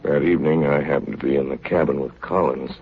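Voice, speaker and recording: Very deep-voiced old-time radio narrator. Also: male voice, fast talker, vocal fry, husky, heavily acted. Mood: grave. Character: gruff ship's chief engineer narrating a doomed Arctic expedition. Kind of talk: 1930s radio drama narration. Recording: muffled, noisy 1938 radio broadcast recording.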